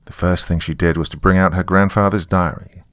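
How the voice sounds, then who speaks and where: Man acting a deep-voiced, weary, haunted writer. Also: fairly even intonation, brisk, no room sound, lightly acted. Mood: grave, confiding. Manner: musing, wry, conversational asides. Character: weary, frightened male writer recording a confession on tape. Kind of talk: first-person horror story narration.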